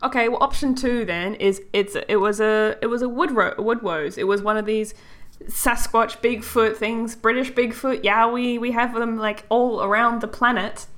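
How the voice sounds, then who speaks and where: A woman co-hosting a podcast, animated and giggly, thinking out loud as she talks. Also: fairly high pitch, moderate pace. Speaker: podcast co-host. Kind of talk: casual podcast chat.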